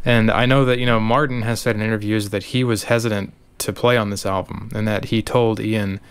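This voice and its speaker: A man with a smooth, clear voice pitched a little low. He talks casually with his co-host on a podcast and fills his sentences with easygoing fillers. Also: fairly even intonation, brisk. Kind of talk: casual chat.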